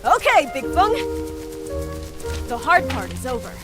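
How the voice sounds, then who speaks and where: A playful, loyal youngster from a game cutscene, extremely high in pitch and wildly swooping in intonation. The lines come out unhurried over loud music. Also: female voice, sing-song. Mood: admiring, playful. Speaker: video game voice actor. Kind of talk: animated game cutscene dialogue.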